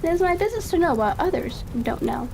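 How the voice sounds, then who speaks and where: A woman's voice: extremely high pitch, big pitch swings, quick pace, creaky, darkish tone, a little hiss or hum, pitched above the performer's own voice, exaggerated voice. A woman voicing a courteous, confident male detective in a storybook reading, polite and cheery. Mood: bland, assured. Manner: bland, politely cheery, self-assured. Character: confident, courteous gentleman detective, gleefully all-knowing.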